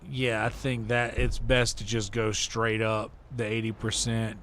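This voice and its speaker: A man's voice: on the low side, little pitch movement, unhurried, a bit bright, slight background noise. A man hosting a game livestream, chatting casually and rambling as he thinks aloud and mutters to himself. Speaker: livestream host and gamer. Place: indoor livestream setup.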